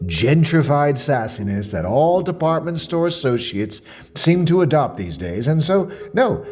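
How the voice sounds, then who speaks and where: An actor playing a fussy, self-important mystery shopper with quiet menace, delivering a precise, deliberate monologue in the form of a report. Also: male voice, on the high side, sing-song, soft background music. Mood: disdainful. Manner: pompous, precise, deliberate, dwelling on words. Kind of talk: dramatic monologue as a spoken questionnaire report.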